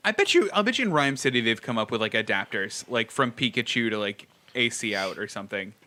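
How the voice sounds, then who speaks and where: A man's voice: mid-range voice, animated, brisk, bright, forceful tone. A man co-hosting a podcast, chatting informally and wordily with plenty of filler "like"s. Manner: informal, wordy, often says "like". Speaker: podcast co-host. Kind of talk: casual podcast chat.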